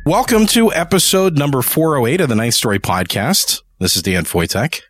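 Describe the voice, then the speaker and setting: A man's voice: medium pitch, very animated, moderate pace, soft background music. A man hosting a podcast and interviewing a guest in casual conversation.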